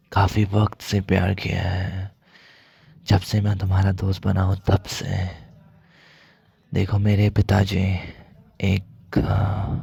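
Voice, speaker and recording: A man playing a mafia boss's soft, lovesick son, hesitant and apologetic in an intimate, gravelly, husky ASMR roleplay. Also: low voice, exaggerated voice. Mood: tender, confessing. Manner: hesitant, halting, confiding, slipping into whispers.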